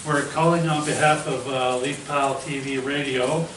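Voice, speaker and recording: A male interviewer who fumbles through his questions, full of uhs and restarts. He is recorded far from the mic in an echoey space. Clear background noise sits under his voice. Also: mid-range voice, steady tone, normal speed, a bit bright. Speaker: host, interviewer. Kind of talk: casual phone-style interview. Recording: echoey, distant from the mic, noisy.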